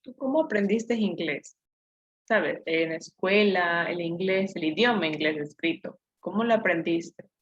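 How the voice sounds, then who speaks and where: Low woman with dull, lo-fi call audio. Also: measured pace, breathy edge. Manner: probing, rephrasing her question. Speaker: audience member asking a question.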